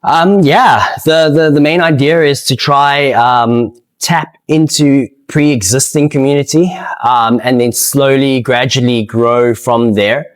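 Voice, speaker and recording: A man with a South African accent giving casual, unscripted interview answers in a hesitant, halting way, often repeating words. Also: medium pitch, steady tone. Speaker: interviewee, amateur speedsoft player and organiser.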